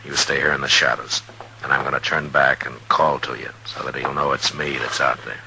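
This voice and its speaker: A man acting a stern, resolute western lawman in a 1950s radio drama, terse and authoritative with a gravelly voice. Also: rumbling low, flat delivery, brisk, sharp tone, exaggerated voice, poor audio. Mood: grave, determined. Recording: hissy, humming 1950s radio recording.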